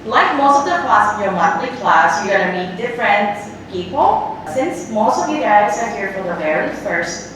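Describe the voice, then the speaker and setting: A woman's voice: medium pitch, very animated, moderate pace, few pauses. A woman with a Thai accent who is a cooking instructor. She explains steps to a class and often checks in with "okay?". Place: cooking school and market, indoors and out. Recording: echoey, distant, with background noise.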